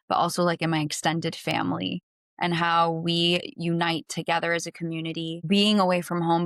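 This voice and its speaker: An American woman with a slightly low voice, talking as a podcast guest. She answers in a conversational way, and the sound is very dry. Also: no echo. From United States.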